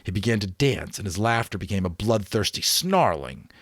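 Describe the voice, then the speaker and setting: A man with a fairly low voice reads a novel aloud as a dramatic audiobook. His delivery is expressive, and he lifts his tone on key phrases. Now and then he trips on a word and corrects himself. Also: normal speed, no room sound, studio quality. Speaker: amateur audiobook reader.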